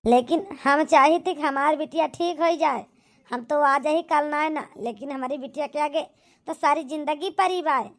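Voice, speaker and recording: A woman's voice: high-pitched, moderate pace. A woman voicing a reproachful older village aunt in an amateur cartoon drama, lecturing with an Awadhi accent. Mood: reproachful scolding.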